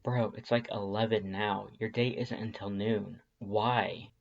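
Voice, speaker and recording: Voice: medium pitch, steady tone, unhurried, gravelly, pitched below the performer's own voice. Easygoing male skeleton brother read by a story narrator, drawling, teasing, unfussy, on a muffled recording. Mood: sleepy, puzzled. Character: laid-back, teasing skeleton brother, casual young man.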